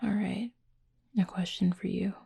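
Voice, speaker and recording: A woman playing a reserved, gently teasing cupid roommate in an intimate audio roleplay, speaking softly and breathily in a dry, measured way. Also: mid-range voice, steady tone, vocal fry, breathy edge, exaggerated voice. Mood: reserved, thoughtful. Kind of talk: intimate roleplay audio drama, addressing the listener.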